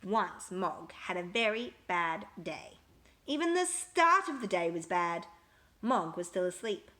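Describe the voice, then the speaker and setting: A woman reading a children's picture book aloud with expressive, emphatic storytelling. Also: fairly low pitch, unhurried.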